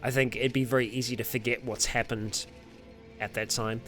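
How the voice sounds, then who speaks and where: A man's voice: medium pitch, little pitch movement, moderate pace, fairly bright tone, soft background music. A man hosting a casual livestream and answering viewer comments, rambling a little with fillers, self-corrections and frequent laughs. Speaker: streamer host.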